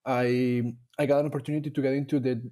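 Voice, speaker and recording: A man from Colombia talks through his path in a relaxed interview. His voice is warm and mellow, at a middle pitch. He pauses often and restarts his sentences as he thinks. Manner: thoughtful, with frequent fillers and restarts. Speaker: dairy nutrition scientist guest. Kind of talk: casual interview conversation.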